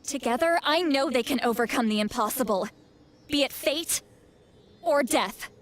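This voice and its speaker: Troubled, higher-voiced seer. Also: female voice, animated, moderate pace. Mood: determined. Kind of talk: scripted video game voice acting.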